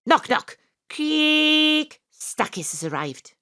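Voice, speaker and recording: An actress playing an eccentric female psychic sleuth, narrating in a deadpan, earnest way. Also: high voice, slow pace, no echo, clean studio sound, exaggerated voice. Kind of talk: comic first-person narration. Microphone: home studio microphone.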